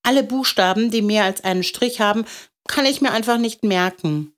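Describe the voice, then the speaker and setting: A woman's voice: mid-range voice, normal speed, pitched above the performer's own voice, lightly acted. A woman voicing an earnest, easily downcast little schoolboy in a storybook, with a solemn, childlike way of talking.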